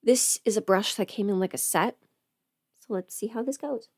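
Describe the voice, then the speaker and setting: A woman playing a chatty, playful streamer who rambles casually through her makeup routine, often slipping into hushed asides. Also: mid-range voice, normal speed, dry recording, clean studio sound, close to an everyday voice. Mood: focused, chatty. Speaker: livestreamer doing a get-ready-with-me makeup stream. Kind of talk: casual livestream chat, scripted audio roleplay.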